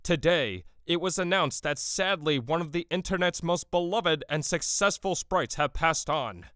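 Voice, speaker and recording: A man's voice: a little high, lively intonation, moderate pace, fairly bright tone, dry recording, clean studio sound. A man playing a pompous, formal internet news anchor in an audio comedy parody. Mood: pompous, solemn.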